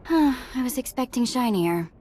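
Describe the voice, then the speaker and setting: A woman's voice: on the high side, expressive, moderate pace, very noisy, natural voice. An actress playing a flippant, slangy female thief and saboteur in a video game.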